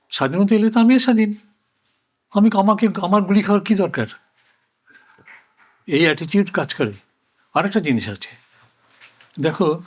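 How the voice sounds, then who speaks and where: An older man with a voice slightly higher than most men's. He talks reflectively, as an interview guest would, in a deliberate way. He often repeats a phrase to drive it home. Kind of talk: reflective oral-history conversation.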